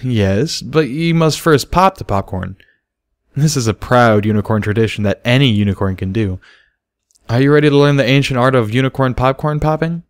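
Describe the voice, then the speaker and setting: A man voicing a patient male unicorn teacher in a storybook, speaking slowly with gentle command. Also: mid-range voice, clean tone, no echo, clean studio sound, voice pushed up, natural voice. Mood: patient, encouraging. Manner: slow, gentle, instructive. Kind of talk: storybook character voice.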